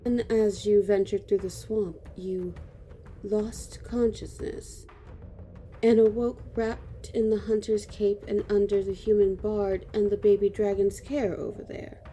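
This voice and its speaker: Elf royal, woman actor: unhurried, soft-toned, quietly superior and teasing, fantasy audio drama, faint ambience behind. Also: mid-range voice, level tone, a little hiss or hum, close to an everyday voice. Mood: measured. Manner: knowing, teasing, measured. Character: sly, amused, composed female elf royal.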